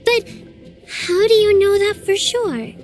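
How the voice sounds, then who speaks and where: An actress voicing a cheerful, earnest young girl apprentice in a game, explaining things patiently and brightly. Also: extremely high pitch, slow pace, soft background music. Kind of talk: game voice acting.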